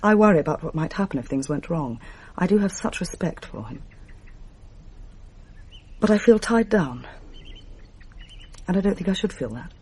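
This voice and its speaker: A woman's voice: fairly low pitch, animated, moderate pace, long pauses, a little mellow, slightly rough. A refined, torn young Englishwoman. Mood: earnest. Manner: genteel, hesitant. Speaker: scholar. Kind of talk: 1980s radio drama acting.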